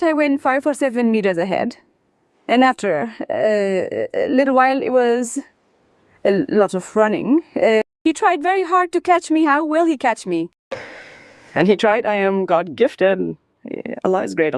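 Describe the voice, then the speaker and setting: A woman from Bangladesh telling her life story as an interview guest, in an animated, emphatic manner. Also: fairly high pitch, normal speed, no echo, studio quality. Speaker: interview guest, former sprinter.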